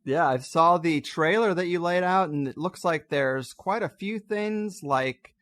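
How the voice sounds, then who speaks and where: A man's voice: on the high side, a little slow, a bit bright, no room sound. A man hosting a podcast, interviewing in a casual, conversational style.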